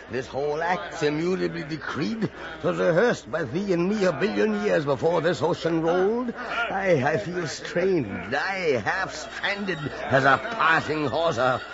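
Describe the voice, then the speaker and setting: An actor playing an obsessed, defiant old whaling captain, declaiming in grandiose, theatrical style in an old-time radio drama. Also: male voice, high-pitched, expressive, takes it slowly, gravelly, a crowd in the background, exaggerated voice. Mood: grim defiant. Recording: muffled, noisy old radio drama recording.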